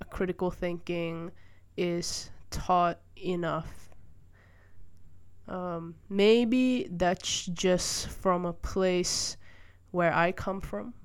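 A woman's voice: fairly low pitch, clear, resonant tone. A young woman from Malaysia hosting a solo podcast, talking through her views in a thoughtful, hesitant way with many fillers and restarts, sitting by a window. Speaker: podcast host. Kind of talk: casual solo monologue. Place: room by a window, rain outside.

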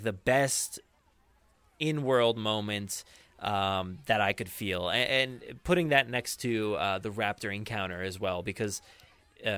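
A man chatting casually as a podcast co-host, enthusiastic and often halting as he searches for words. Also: on the low side, fairly bright tone, smooth, no echo.

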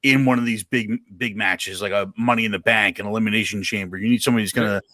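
A man's voice: fairly low pitch, fairly even intonation, fast talker, over a noisy crowd. A man giving blunt, slangy opinions in casual sports podcast conversation. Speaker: wrestling podcast panelist.

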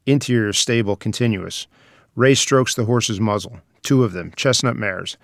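A man's voice: on the low side, moderate pace, vocal fry, no room sound, studio quality. A man reading scripted stage directions as the narrator of a screenplay table read.

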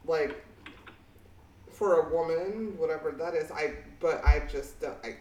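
A woman co-hosting a casual podcast, speaking bluntly and emphatically with plenty of swearing, in a fairly deep voice for a woman. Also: measured pace, mellow, reverberant, far from the mic, slight background noise, rough recording. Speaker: podcast co-host.

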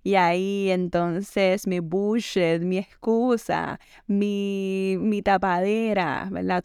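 A young woman hosts a self-help podcast, talking things over in a loose, conversational way and reaching for the same filler word again and again. Her voice is smooth and even, with a gravelly edge at the ends of phrases. The recording is dry and close, with no room sound. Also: mid-range voice, dry recording. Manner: conversational, leans on the filler "entonces" and slips in English words. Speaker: podcast host. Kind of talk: reflective self-help monologue.

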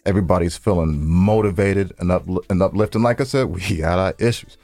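A man's voice: low voice, brisk, vocal fry, darkish tone, no room sound. An American man co-hosting a podcast in casual, unscripted banter, with a laid-back, conversational way of repeating himself. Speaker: podcast co-host.

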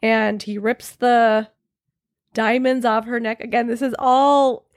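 A woman's voice: fairly high pitch, fairly even intonation, slow, dry recording, clean studio sound. A woman co-hosting a casual film discussion, enthusiastic and swearing casually.

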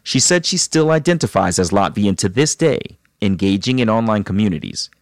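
Measured low-voiced male host. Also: no echo, studio quality. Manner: measured, calm storyteller. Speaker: podcast host. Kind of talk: scripted narration and interviewing.

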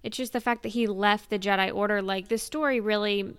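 A woman's voice: medium pitch, steady tone, fairly quick, smooth, dry recording. A woman chatting casually on a fan podcast in a blunt, playful way.

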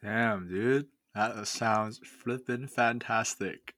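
A man's voice: on the low side, steady tone, extremely slow, a bit bright, no room sound, studio quality. A man from Hong Kong hosting a podcast in a laid-back, chummy, slangy way. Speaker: podcast host. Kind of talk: casual podcast conversation.

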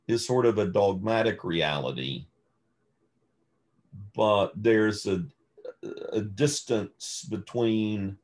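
Male interview guest, academic type. Deep voice, unhurried and deliberate delivery. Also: darkish tone. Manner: measured, deliberate, scholarly. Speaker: guest, theologian and author. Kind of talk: thoughtful interview conversation.

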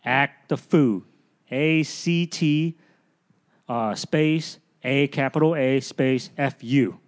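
A man who talks casually as a podcast guest, rambling and stumbling over his words. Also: medium pitch. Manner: rambling, halting. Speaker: comedy producer, podcast guest.

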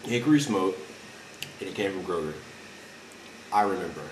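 A man's voice: deep voice, expressive, moderate pace. A man of nineteen co-hosting a podcast, teasing his friend in laid-back slang. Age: young adult. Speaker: podcast co-host. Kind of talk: casual chat. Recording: distant echoey noisy room sound.

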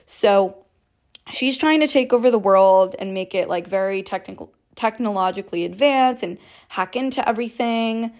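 A young woman in her twenties with a low alto voice, hosting a casual solo podcast, chatty and sprinkling in "like" and swear words. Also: normal speed, a little mellow. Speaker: podcast host.

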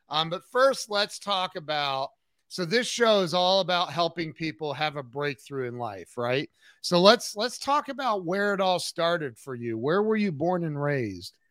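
A middle-aged man hosting a show in a casual, enthusiastic style, leaning on words with drawn-out emphasis. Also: on the high side, fairly bright tone, no room sound. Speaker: show host.

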